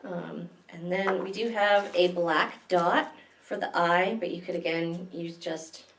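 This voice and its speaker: A woman's voice: lowish voice, fairly even intonation, slow pace, gravelly, a bit bright, distant mic, a little hiss or hum. Lady doing kids story time, explains stuff simply, roomy echo, a bit away from the mic. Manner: simple, child-directed explaining with questions. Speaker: children's librarian and story time host. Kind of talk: picture-book reading and simple craft demonstration for small children. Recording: echoey room, away from the mic.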